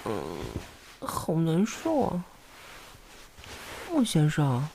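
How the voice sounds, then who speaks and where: A woman voices a small, sickly tomato boy in an audio drama. The delivery is slow, breathy and pleading, fairly low, with faint noise behind it. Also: huge pitch swings, dark tone, heavily acted. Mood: suffering, weak. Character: sickly, timid anthropomorphic cherry tomato, male, pleading. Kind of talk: amateur audio drama acting.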